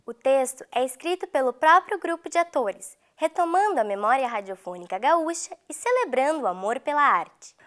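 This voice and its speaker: A woman's voice: high-pitched, very animated, moderate pace, hardly any pauses, fairly bright tone. A woman reading scripted narration for an arts programme.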